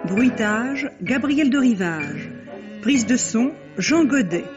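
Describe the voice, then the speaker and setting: Vintage radio credits announcer. Formal and measured, dull archival sound, strong musical backing. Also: medium pitch, expressive. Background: loud music.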